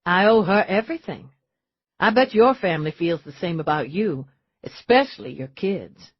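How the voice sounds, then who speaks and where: A woman's voice: fairly low pitch, animated, moderate pace, husky, dry recording. A woman voicing a sly, boyish young man who is charming and ingratiating, in a dull lo-fi audiobook recording. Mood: eager.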